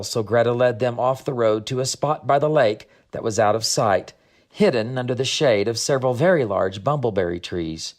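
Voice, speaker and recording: A man reading scripted storybook narration in an expressive, measured storytelling manner. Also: mid-range voice. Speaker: author and audiobook narrator.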